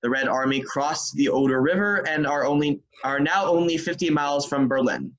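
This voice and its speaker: A man's voice: fairly high pitch, normal speed, dull audio, crowd noise, lightly acted. A man playing a sober 1940s radio news announcer in an amateur play reading over a video call, stumbling slightly. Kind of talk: amateur table read of scripted news.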